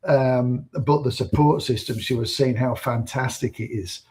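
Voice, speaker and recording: A man in his fifties co-hosting a casual livestream. His voice is husky and sits at a middle pitch. He tells stories in an easygoing, rambling way. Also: steady tone, unhurried, a little mellow. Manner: easygoing storyteller, rambling with fillers. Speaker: livestream co-host.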